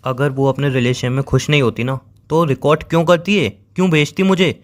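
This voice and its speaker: A man's voice: medium pitch, steady tone, fairly quick, close to an everyday voice. A man playing a hurt, accusing young boyfriend in a dramatized breakup phone call, pleading and sarcastic and repeating himself for emphasis. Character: hurt, accusing young boyfriend confronting his cheating girlfriend.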